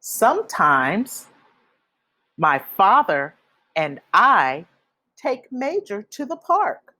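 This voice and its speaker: A woman reads a children's story aloud at a slow, deliberate pace. Her mid-pitched voice moves up and down a lot, phrasing each line expressively for young listeners. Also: wildly swooping pitch, sharp tone. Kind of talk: children's picture book read-aloud.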